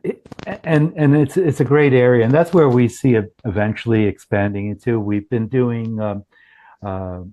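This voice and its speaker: A man presenting as a company founder in a business pitch on a group call, speaking haltingly with frequent restarts. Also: warm tone, no room sound. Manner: halting, often restarts phrases. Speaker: startup founder presenting his product.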